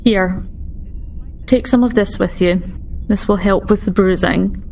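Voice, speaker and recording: A woman playing a stern but caring starship medic in a tabletop game, measured and admonishing. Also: medium pitch, creaky, warm tone, faint music behind. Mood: concerned, suspicious.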